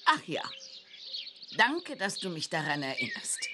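A woman playing a bossy old witch aunt in a children's game, theatrical and self-important, with deliberate delivery. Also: fairly low pitch, very animated, background noise. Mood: self-important, kindly. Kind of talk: scripted children's game character acting. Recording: clear birdsong behind the voice.

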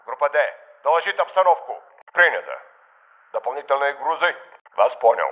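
Tinny Russian radio commander. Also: male voice, medium pitch, sing-song, brisk. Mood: official, commanding. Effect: military radio filter. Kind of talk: video game radio chatter. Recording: narrow, tinny military radio.

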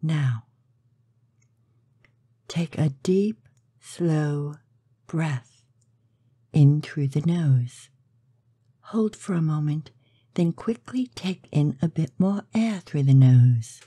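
A woman narrating a scripted guided meditation in a soothing, measured, breathy way, with soft delivery and pauses. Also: low-pitched, lively intonation, no echo.

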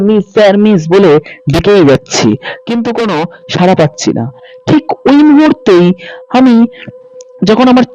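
A young man narrates a first-person ghost story in a suspenseful, conversational way, pausing for drama. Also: high-pitched, darkish tone, low-quality recording. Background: background music.

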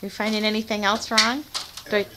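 A woman's voice: mid-range voice, little pitch movement, a little fast. Chatty woman vlogging in a noisy barn. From Michigan. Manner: chatty, laughs often. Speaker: farm vlogger. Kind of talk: casual narration while doing chores. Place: barn, indoors.

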